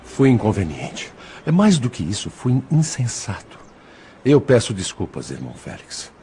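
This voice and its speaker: A man's voice: on the low side, huge pitch swings, normal speed, mellow, soft background music. A Brazilian man acting an emotional, indignant spirit father in a dramatized audiobook. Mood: contrite. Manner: emotional, theatrical. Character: indignant, anguished spirit father protective of his daughter.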